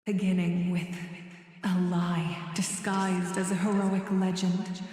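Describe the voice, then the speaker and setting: A woman's voice: lowish voice, little pitch movement, slow pace, dark tone, slight background noise. A woman playing a majestic goddess in a video game, making a grave, stately proclamation with formal diction. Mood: solemn. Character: solemn, majestic goddess pronouncing judgment. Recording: heavy echo, long cavernous reverb.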